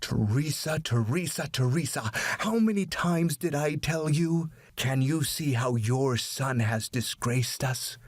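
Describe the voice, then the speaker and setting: A harsh storybook father, acted by a male reader. He scolds in furious, scornful bursts, in a husky voice recorded very dry. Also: medium pitch, animated, takes it slowly, few pauses, no echo, voice pushed up. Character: furious, shamed, harsh father disowning his son.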